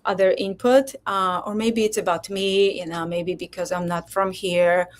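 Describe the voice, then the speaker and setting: A woman's voice: mid-range voice, steady tone, normal speed. A middle-aged woman from Poland, a physician guest telling her story in a candid, reflective way with many hesitations. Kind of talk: candid personal interview talk.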